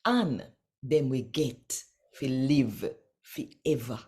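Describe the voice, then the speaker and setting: A woman with a Jamaican accent, hosting and reading scripture aloud in a deliberate, dramatic storytelling way. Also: very deep voice, animated, darkish tone. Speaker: channel host and Bible reader. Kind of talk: expressive scripture reading and direct address to viewers.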